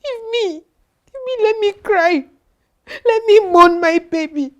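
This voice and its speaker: A woman's voice: very high voice, animated, slow, highs cut off. A woman playing a grieving mother, crying and accusing her husband. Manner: sobbing, accusing. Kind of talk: melodrama acting.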